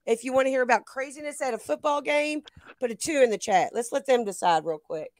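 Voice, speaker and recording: A woman's voice: high voice, a little fast, darkish tone, no room sound, clean studio sound. A woman co-hosting a livestream, chatting informally, reading viewer comments and encouraging her partner along. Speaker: livestream co-host. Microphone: remote livestream call.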